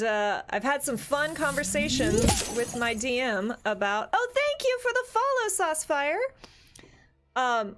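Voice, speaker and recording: A woman's voice: on the high side, a bit bright. A woman hosting a tabletop gaming stream in casual, chatty style, often breaking off and picking her thought back up. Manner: chatty, informal, self-interrupting. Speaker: host of a tabletop role-playing talk stream. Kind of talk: casual livestream chat.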